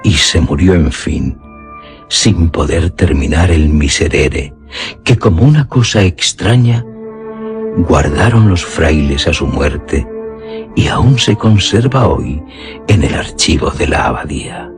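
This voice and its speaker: A man's voice: very deep voice, lively intonation, takes it slowly. A man narrating a literary radio drama in a solemn, theatrical, emphatic manner. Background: music.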